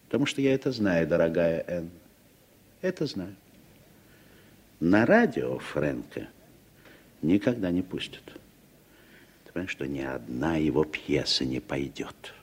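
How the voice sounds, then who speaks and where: An actor playing a blustering, uneasy American businessman in a Soviet radio drama, husky and theatrical, hesitant and then emphatic. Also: low-pitched, big pitch swings, measured pace, pauses often, heavily acted. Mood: grave, warning.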